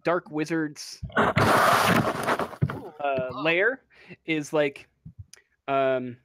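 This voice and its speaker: A woman narrating an improvised tabletop game as game master, speaking informally and haltingly. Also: low-pitched, bright, forceful tone, dry recording.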